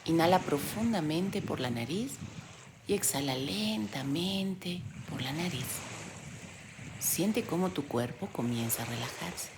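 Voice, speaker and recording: Very low-voiced woman guiding meditation over waves. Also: background noise. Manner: slow, soothing, gentle delivery with pauses. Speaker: meditation guide, yoga teacher. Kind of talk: guided meditation narration. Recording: steady loud sea-wave background sound. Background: sound of ocean waves.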